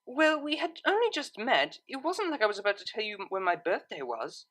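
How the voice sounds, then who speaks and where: A woman's voice: mid-range voice, very animated, moderate pace, a bit bright, voice pushed up. A woman voicing a refined, shy young female pony cellist with a proper, formal and hesitant manner. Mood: indignant. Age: young adult.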